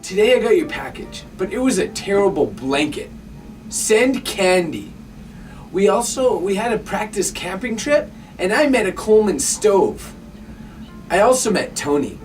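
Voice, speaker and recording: An adult reading a child's letter out loud. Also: medium pitch, big pitch swings, measured pace. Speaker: staff member reading aloud. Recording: distant mic with faint music. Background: faint music.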